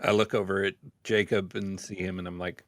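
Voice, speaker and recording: A man's voice: deep voice, level tone, brisk, a bit bright, dry recording, clean studio sound. A man playing a tabletop RPG, talking casually and roleplaying with the group.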